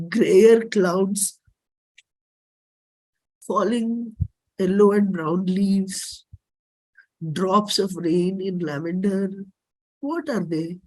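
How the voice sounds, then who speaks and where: A performer with an Indian accent and a husky voice, giving a dramatic, deliberate reading of a personal play script. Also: medium pitch, darkish tone, rough recording. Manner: measured, deliberate, theatrical phrasing.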